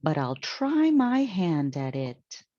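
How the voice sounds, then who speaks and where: Woman playing an earnest, literal-minded housekeeper, softly mellow. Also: deep voice, sing-song, slow pace, dry recording, highs cut off, full character voice. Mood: busy, musing.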